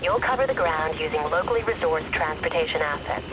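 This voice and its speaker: A woman's voice: sits low, animated, fairly quick, very noisy. A woman playing a businesslike military handler in a game, giving clipped, formal, authoritative orders. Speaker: mission controller. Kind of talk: scripted military briefing.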